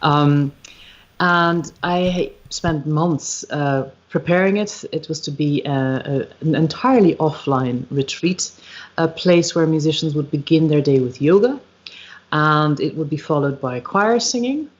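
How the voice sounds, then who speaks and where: A woman's voice: sits low, unhurried. Reflective Irish woman, lo-fi stream. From Ireland. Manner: reflective, searching for words, frequent ums. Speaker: guest, musician and retreat founder. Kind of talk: podcast interview, thoughtful conversation. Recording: slightly dull, lo-fi livestream audio.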